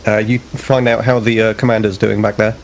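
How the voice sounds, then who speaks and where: A man's voice: fairly low pitch, level tone, brisk, slight background noise, natural voice, rough recording. A man voicing an eager young ensign in a sci-fi tabletop game, talking casually. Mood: upbeat. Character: eager young male ensign, keen to help.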